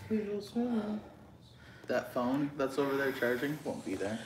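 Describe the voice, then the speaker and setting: A man's voice: medium pitch, big pitch swings, normal speed, a crowd in the background. A man making brief, casual remarks off camera, some way from the phone's microphone. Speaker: companion helping with the tour. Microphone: off-camera, away from handheld phone. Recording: noisy, distant from the phone mic.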